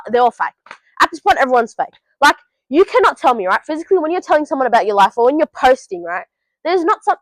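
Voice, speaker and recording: A woman's voice: high-pitched, fairly bright tone, no room sound, rough recording. A teenage woman, giving blunt, sweary advice straight to camera in a casual vlog recorded at home. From Australia. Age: teens. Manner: blunt, swears freely, talks straight to the viewer. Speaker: vlogger.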